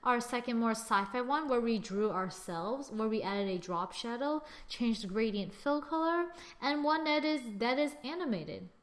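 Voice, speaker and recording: A young woman with a slightly high voice talks through a design tutorial at her computer. She narrates every click and step in a chatty, casual way, speaking into a desktop mic. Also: expressive, normal speed. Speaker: tutorial host. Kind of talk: screen-recorded software tutorial.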